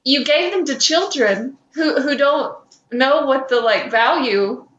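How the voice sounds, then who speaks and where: A woman's voice: high-pitched, animated, slow pace, fairly bright tone, dull audio. A woman co-hosting a podcast with casual banter and playful, incredulous reactions, in a roomy recording. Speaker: podcast co-host.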